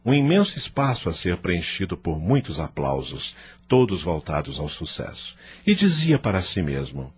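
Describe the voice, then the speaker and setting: Deep, very husky male narrator. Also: sing-song, normal speed, hardly any pauses. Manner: solemn, theatrical. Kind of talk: dramatic scripted audiobook narration.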